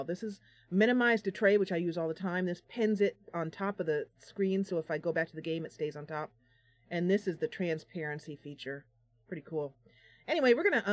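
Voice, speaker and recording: A woman talks to her live-stream audience in a casual, chatty way, explaining as she goes. Her pitch sits in the middle, and the sound is dry with very little room in it. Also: darkish tone, dry recording. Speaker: game streamer and host.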